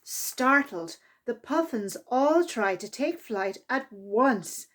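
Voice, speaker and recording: A woman reading a picture book aloud to young children in an animated, expressive storytime manner. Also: on the high side, slow pace. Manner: expressive, with exclaimed sound effects and asides to listeners. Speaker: library storytime reader.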